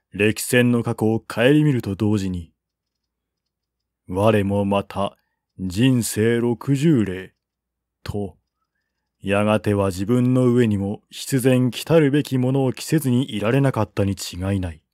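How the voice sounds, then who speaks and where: A man reading a historical novel aloud as scripted narration, in a measured, formal storytelling manner. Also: on the low side, darkish tone, dry recording, clean studio sound. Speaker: audiobook narrator.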